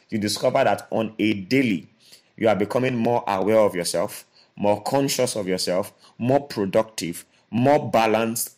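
Low-voiced earnest man. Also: darkish tone. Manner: earnest, repetitive, restarts phrases. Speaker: co-host. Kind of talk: motivational podcast talk.

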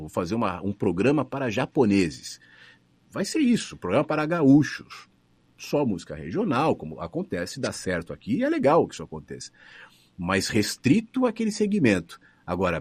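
A man's voice: lowish voice, darkish tone, breathy edge, no room sound. A middle-aged radio announcer with a São Paulo accent, thoughtfully answering interview questions and often restarting his phrases. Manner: thoughtful, restarts phrases, rises and falls expressively.

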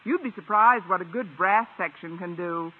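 Wry schoolteacher, tinny old radio. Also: female voice, on the low side, normal speed, breathy edge. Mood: wry, brisk. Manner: dry, wisecracking. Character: wry, quick-witted adult female English teacher. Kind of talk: 1950s radio comedy acting.

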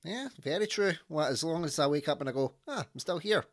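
A man's voice: fairly high pitch, expressive, brisk. Jokey Scottish man in his thirties. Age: 30s. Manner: jokey, self-deprecating. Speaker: podcast host. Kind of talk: casual interview chat.

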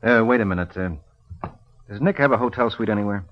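An American man acting a wry, deadpan private detective in an old radio drama. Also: lowish voice, quick pace, slight background noise, natural voice. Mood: casual, probing. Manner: deadpan, wisecracking. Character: wry, hard-boiled male private detective, unflappable and flirtatious. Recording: dull vintage radio recording.

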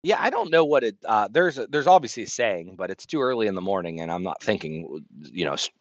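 A man's voice: fairly low pitch, lively intonation, quick pace, no room sound, muffled recording. A man in his thirties co-hosting a podcast, rambling and joking with many restarts in casual chat. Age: 30s. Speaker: podcast co-host.

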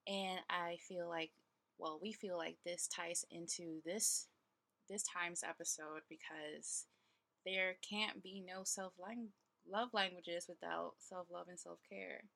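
A woman's voice: fairly low pitch, fairly even intonation, slow pace, creaky, sharp tone. A woman in her twenties co-hosting a podcast, chatting casually with playful, slangy banter. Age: 20s. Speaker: podcast co-host.

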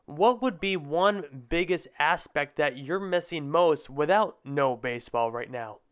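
A man's voice: on the high side, a little slow, clear, resonant tone. A young man hosting a podcast, asking long, meandering questions in a conversational sports interview. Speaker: podcast host.

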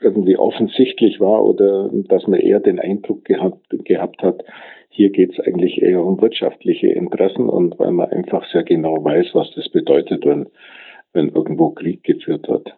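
A man with a low voice reflects in an interview over a thin, phone-like connection. He speaks haltingly, doubling back on phrases while he looks for the right words. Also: fairly even intonation, vocal fry. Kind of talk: spontaneous reflective interview talk.

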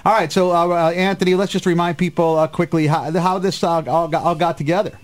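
A middle-aged man hosting a casual live radio show, chatty and folksy, with halting phrasing. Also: on the high side, fairly even intonation. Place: radio studio. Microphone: studio microphone.

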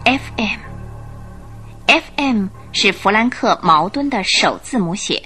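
A woman narrating a radio drama in an expressive, emotive storytelling style from a script. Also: medium pitch, slow. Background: music.

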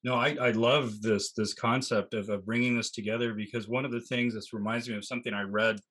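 A man's voice: mid-range voice, fairly even intonation, fairly bright tone, husky. Thoughtful man hosting an interview. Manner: thoughtful, halting with restarts. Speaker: host. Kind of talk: interview conversation.

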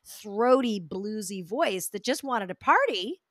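A middle-aged woman telling stories expressively as an interview guest, with lively asides. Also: mid-range voice, measured pace, dry recording, clean studio sound. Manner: expressive storyteller, animated asides. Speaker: guest, communication coach. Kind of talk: casual interview chat.

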